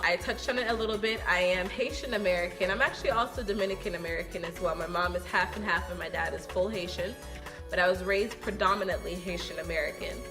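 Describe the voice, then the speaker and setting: A young woman speaks earnestly and reflectively in a casual vlog, her voice sitting a little low for a woman. She pauses often with ums as she thinks aloud. Soft music plays faintly behind her. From New York. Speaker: vlogger. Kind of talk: casual get-to-know-me talk to camera. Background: soft background music.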